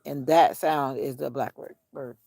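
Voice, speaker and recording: Gravelly-voiced woman rambling outdoors. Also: rumbling low, fairly even intonation, unhurried, dark tone, no echo, clean studio sound. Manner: rambling, musing to herself and viewers. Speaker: vlogger. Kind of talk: casual outdoor vlog chat. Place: outdoors on a nature walk.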